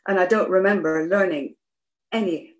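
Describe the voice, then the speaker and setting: A woman's voice: lowish voice, moderate pace. A middle-aged woman from Iran, a guest in an interview, talking hesitantly with many fillers and restarts. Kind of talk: conversational interview. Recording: roomy echo.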